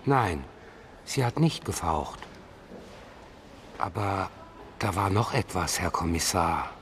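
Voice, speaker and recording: A man's voice: sits low, expressive, takes it slowly, fairly bright tone, exaggerated voice. An actor playing a perceptive blind man questioned in a radio crime drama, phrasing his answers carefully and eloquently. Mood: reflective, grave. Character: perceptive blind male witness, measured and eloquent.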